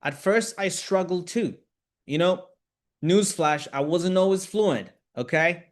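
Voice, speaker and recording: A man's voice: sits high, slow pace. Man from tanzania, english teacher, live online class, encouraging, direct, talks to viewers. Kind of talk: live webinar talk to viewers.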